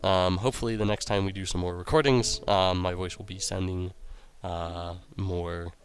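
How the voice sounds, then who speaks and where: A young man hosting a game playthrough, talking casually with a raspy, sick-sounding voice and rambling with lots of ums and uhs. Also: sits low, fairly even intonation, moderate pace, faint music behind. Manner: rambling, many ums and uhs, reads quest text aloud. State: raspy voice from illness. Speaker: let's play host.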